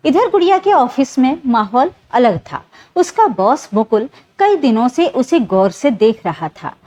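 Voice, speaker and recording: A female narrator delivers a scripted dramatic story in a high voice. Her intonation swings widely, and she tells it in an emotive storyteller's manner. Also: very animated, measured pace, a little mellow.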